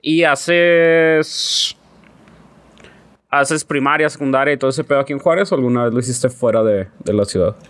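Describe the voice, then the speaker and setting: A man's voice: medium pitch, moderate pace, fairly bright tone. A man, hosting a podcast and asking questions in a slangy, casual way with free swearing. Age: 30s. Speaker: podcast host. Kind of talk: casual interview.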